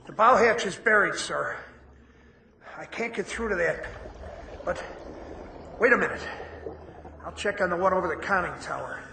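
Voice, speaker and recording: A man's voice: sits high, normal speed, creaky, long pauses, sharp tone, roomy sound, slight background noise, full character voice. A man playing a plucky, dutiful Navy diver in a radio drama, plain-spoken and respectful as he reports. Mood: tense, focused.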